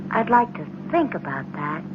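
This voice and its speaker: A woman playing an earnest, softly insistent young orphan boy in radio drama, speaking in simple, deliberate childlike phrases. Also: low-pitched, sing-song. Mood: earnest, wondering. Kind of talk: 1970s radio drama acting. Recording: muffled, noisy 1970s radio recording.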